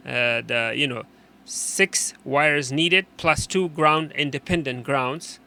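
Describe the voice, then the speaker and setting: A man presenting an unscripted technical how-to, explaining in a halting way and often repeating and rephrasing his instructions. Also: medium pitch, gravelly, bright, forceful tone, slight background noise. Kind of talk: unscripted hands-on tutorial explanation.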